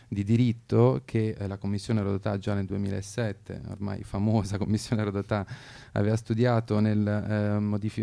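Male radio talker, low gravelly voice, thoughtful and halting, political explainer. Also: dark tone. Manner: hesitant, searching, intellectual. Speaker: radio show speaker.

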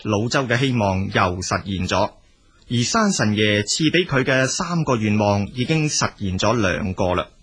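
A man with a Cantonese accent narrating a scripted folk tale as a storyteller, in a husky voice. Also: fairly low pitch, animated, unhurried, a bit bright.

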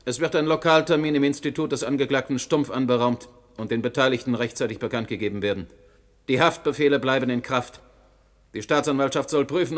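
An actor playing a stern, dogged presiding judge in a radio play, questioning formally and with authority. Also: male voice, mid-range voice, natural voice. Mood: commanding. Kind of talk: German radio play acting. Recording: older radio recording with background noise.

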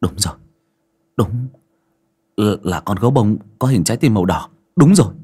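A man's voice: rumbling low, lively intonation, a little fast, slightly rough, heavily acted. A man voicing a distraught husband who stammers while choked up.

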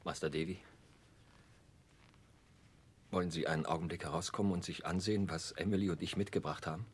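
An actor playing a humble, heartbroken working man, halting and pleading, in a 1960s German radio drama. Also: deep voice, steady tone, slightly rough. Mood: hushed, tense.

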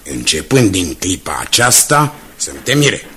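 A low-voiced, animated company boss. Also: male voice, crowd noise. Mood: calculating, pleased. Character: genial, calculating company director with political ambitions. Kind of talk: radio drama acting. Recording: noisy 1980s radio drama tape.

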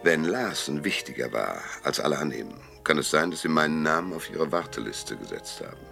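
Cynical private eye, very low gravelly voice, forceful edge, dry laconic delivery, noir radio play. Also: male voice, big pitch swings, a little fast, faint music behind, full character voice. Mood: wry, pondering. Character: hardboiled, cynical male private detective, narrator of his case. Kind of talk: German radio crime drama, first-person noir narration and dialogue.

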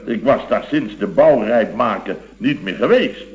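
Blustering contractor, swooping intonation. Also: male voice, on the low side, lively intonation, unhurried. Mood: awed, effusive. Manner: rambling, exclamatory, with repeated tag words. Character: blustering, sentimental middle-aged male building contractor. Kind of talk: Dutch radio comedy acting.

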